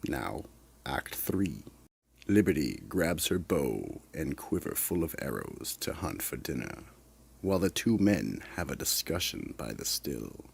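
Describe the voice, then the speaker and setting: A man with a gravelly, husky voice narrating a scripted radio mystery drama. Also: extremely low pitch, very animated, measured pace.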